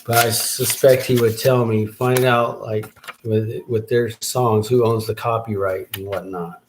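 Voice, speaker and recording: A man's voice: on the low side, fairly even intonation, a little slow, slight background noise, rough recording. A man hosting his own show, giving a casual solo update as he thinks aloud, rambling with restarts. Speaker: podcast host and rap artist.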